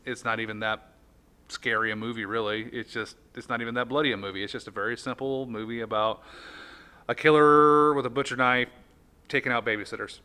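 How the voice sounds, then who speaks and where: A man with an American accent hosts a relaxed, conversational podcast. His voice is bright and forward, and he talks with a playful, wry streak. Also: mid-range voice, fairly quick, clean tone. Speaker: podcast host. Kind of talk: casual chat.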